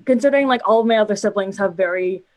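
A young woman in casual chat, speaking hesitantly with many pauses. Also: medium pitch, low-quality recording.

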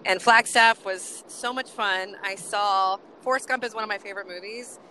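Bubbly giggly woman, bright voice. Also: mid-range voice, normal speed, a little hiss or hum, low-quality recording. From US West Coast. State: voice getting lower from chanting. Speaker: co-host. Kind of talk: casual co-host chat, road trip update. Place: in a car.

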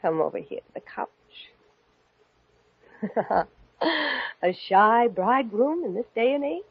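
An actress playing a loving, soothing new bride in a theatrical old radio drama style, on a noisy vintage recording. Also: female voice, mid-range voice, breathy edge, muffled recording, full character voice. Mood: teasing, seductive. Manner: tender, theatrical endearments. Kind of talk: 1940s radio drama acting.

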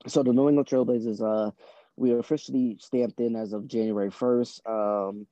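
Muffled, even-toned man from Boston, interviewed. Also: medium pitch, moderate pace, no echo. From born in Trinidad, grew up in Boston from age six. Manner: conversational, frequent "you know". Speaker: basketball coach and team executive, podcast guest. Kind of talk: casual interview answers.

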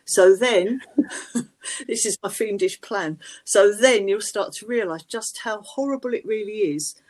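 A woman's voice: medium pitch, a little slow, darkish tone, rough recording. A woman, co-hosting a podcast in casual chat, with a dry, teasing manner and laughs through her lines. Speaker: podcast co-host.

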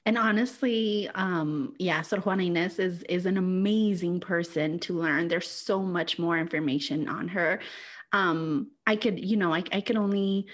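A woman whose first language is Spanish, co-hosting a podcast in casual chat and laughing often. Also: on the low side. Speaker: podcast co-host.

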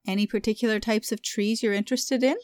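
Storybook part: a male narrator playing a motherly, fussing receptionist lady, pitched well up and a touch distant. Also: steady tone, fast, a little mellow, clear, resonant tone, dry recording, close to an everyday voice. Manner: motherly, calls everyone dear. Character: kindly plump older woman receptionist. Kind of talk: audiobook character voice.

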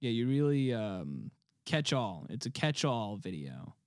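A man's voice: mid-range voice, very animated, a little slow, clean tone, no room sound, studio quality. A male podcast host in casual chat who gives playful, mock-grand encouragement.

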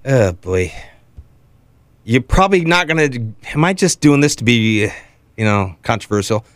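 A man's voice: medium pitch, animated, normal speed, fairly bright tone, no echo. A man co-hosting sports radio, debating casually and emphatically, often repeating himself, close on a studio microphone. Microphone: close studio broadcast microphone.

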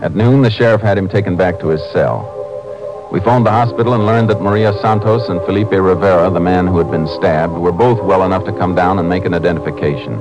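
Texas Ranger lead: very deep, terse, businesslike, 1950s radio drama delivery. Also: male voice, a little fast, poor audio. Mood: matter-of-fact narration. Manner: terse, matter-of-fact. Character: calm, businesslike Texas Ranger investigator and narrator. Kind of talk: 1950s radio acting, narration and dialogue. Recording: old radio drama with music.

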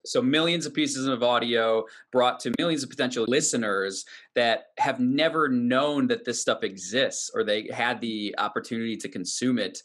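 Male host, bright mid-pitched voice, upbeat promotional panel moderator. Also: normal speed, few pauses. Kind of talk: podcast hosting, moderating a panel.

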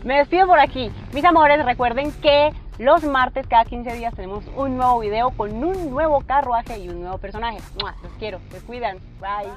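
A woman's voice: fairly high pitch, normal speed, hardly any pauses. A woman from Colombia hosting an interview, affectionate and gushing, with a playful touch. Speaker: host. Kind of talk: interview host.

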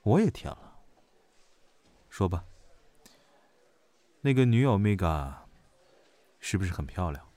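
A man's voice: sits low, very animated, slow, pauses often, dark tone, no room sound, full character voice. A man acting a blunt, naive young military officer in a Chinese audio drama. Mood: teasing. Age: young adult. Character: blunt, naive young male military major general, sci-fi world. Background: music.

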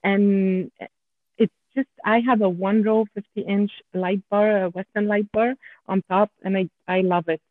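A woman with a French Canadian accent, a podcast guest chatting in a halting way with many restarts. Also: mid-range voice, steady tone. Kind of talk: casual podcast chat. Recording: tinny phone-line audio.

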